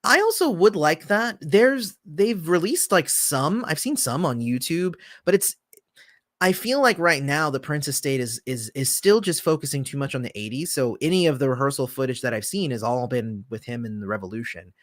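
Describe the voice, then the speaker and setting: A man hosting a casual livestream, answering viewer comments in a relaxed, rambling way with lots of fillers, often trailing off softly. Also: a little high, moderate pace, no room sound, studio quality. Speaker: channel host.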